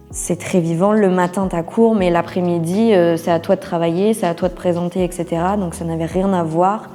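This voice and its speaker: Mellow-voiced young woman in a reverberant room. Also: lowish voice. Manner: informal, colloquial, candid. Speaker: interviewee, communications officer and freelancer. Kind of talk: casual testimonial interview, talking about own career.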